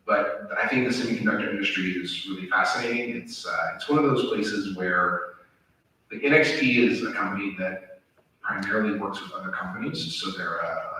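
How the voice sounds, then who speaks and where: Deep bright-toned man in an echoey hall. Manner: articulate, long flowing sentences. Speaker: panelist. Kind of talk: panel introduction. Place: lecture theatre. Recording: echoey room from far off.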